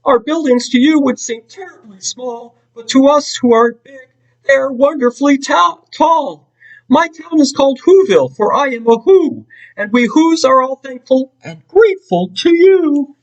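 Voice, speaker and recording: A man voicing a tiny, cheerful male mayor in a storybook read-aloud, squeaky and eager. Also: animated, normal speed, distant mic, muffled recording.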